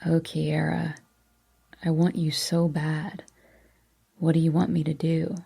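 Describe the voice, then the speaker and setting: A young man murmuring softly and closely, low and gravelly in tone, as a woman narrator reads him. He talks slowly and stays hushed throughout. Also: flat delivery, heavily acted. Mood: intimate. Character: young adult man, tender and low-voiced.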